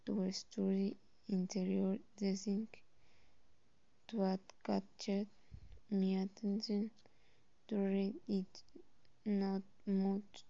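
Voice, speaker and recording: A teenage girl with a Costa Rican Spanish accent speaks very slowly and haltingly, stopping to correct herself. Her voice is dark and mellow, with a flat, even pitch, recorded dry and a little dull. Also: gravelly, no room sound. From Costa Rica. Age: teens. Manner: halting, with pauses and self-corrections. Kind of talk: reading a personal introduction.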